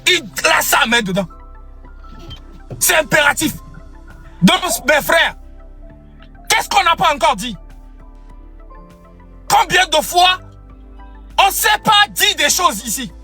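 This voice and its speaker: A man's voice: very high voice, big pitch swings, slow, pauses often, sharp tone, faint music behind. A young man giving an impassioned political speech, repeating phrases for emphasis. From Togo. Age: young adult. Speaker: activist.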